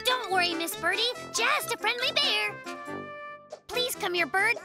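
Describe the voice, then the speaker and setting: A woman voicing a cocky, excitable young boy polar bear in a children's cartoon, boastful and easily exasperated, with lots of exclamations. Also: extremely high pitch, a little slow, gravelly, heavily acted. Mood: indignant, boastful. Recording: music bed behind the voice.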